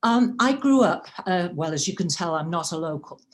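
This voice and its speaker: A woman's voice: fairly low pitch, expressive, moderate pace. Welsh woman giving a chatty club talk. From rural North Wales. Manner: chatty, self-deprecating, frequent uhs. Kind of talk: personal presentation at a club meeting.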